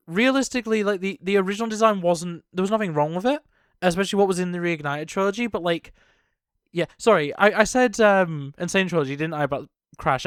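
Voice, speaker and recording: A man's voice: high-pitched, clean tone, no room sound, studio quality. A young man giving casual, off-the-cuff reaction commentary on a video game trailer, rambling and thinking aloud as he goes.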